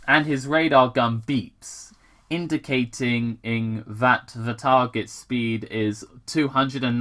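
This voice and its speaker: A man's voice: mid-range voice, sharp tone. A man casually reading fan fiction aloud with commentary, stumbling over words, repeating himself and swearing in asides.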